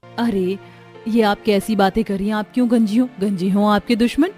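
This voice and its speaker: A woman's voice: medium pitch, steady tone, normal speed, mellow, faint music behind, lightly acted. A woman playing a humble, helpful daughter-in-law selling snacks in a cartoon story. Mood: polite.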